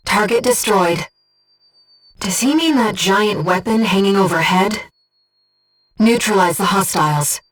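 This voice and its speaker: Composed, gentle female AI-like game guide, acted by a woman, slightly distant. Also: medium pitch, a little slow, pauses often, soft background music, natural voice. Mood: calm, focused. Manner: composed, earnest, precise. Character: gentle disembodied female coral being, devoted mission guide. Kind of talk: video game mission support voice acting.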